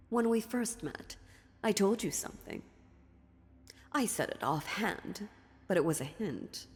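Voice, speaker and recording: A woman playing an ancient, sly and dominant female demon in a dark fantasy audio roleplay, taunting theatrically with deliberate pauses. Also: fairly low pitch. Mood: smug, amused. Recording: long reverb, music underneath.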